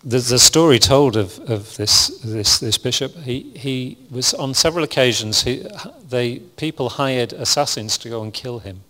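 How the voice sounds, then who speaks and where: Calm preacher man in a reverberant hall. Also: mid-range voice, moderate pace, few pauses. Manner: calm, even, self-described monotonous delivery with frequent restarts. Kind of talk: sermon. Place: church hall with congregation.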